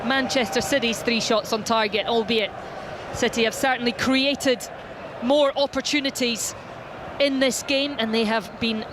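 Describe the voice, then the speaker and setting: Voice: mid-range voice, steady tone, unhurried. A football commentator describing live match play in a radio sports commentary style, with outdoor stadium ambience behind. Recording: clear ambient noise behind.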